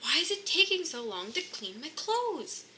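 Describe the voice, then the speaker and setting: Woman reading as exasperated old Santa Claus, pitched high. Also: sing-song, moderate pace, sharp tone, a little hiss or hum, heavily acted. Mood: upset.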